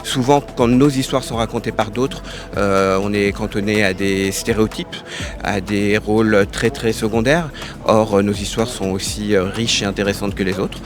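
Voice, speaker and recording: Man, low voice, earnest, hesitant, outdoor street interview, clear music behind. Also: fairly even intonation, moderate pace. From France. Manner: earnest, hesitant with many fillers. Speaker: festival-goer. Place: outdoors in a city.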